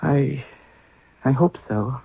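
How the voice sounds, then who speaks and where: A man acting in a 1970s radio drama as a young, newly crowned pharaoh, grave, halting and apprehensive. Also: mid-range voice, gravelly, slightly rough, no room sound, full character voice. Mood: solemn, uneasy. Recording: dry phone-like vintage radio recording.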